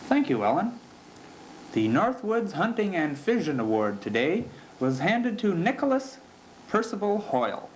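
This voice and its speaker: A man playing a straight-faced sportscaster in a parody newscast, reading scripted sports news in a deadpan way. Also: high-pitched, unhurried. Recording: noisy amateur 1970s recording.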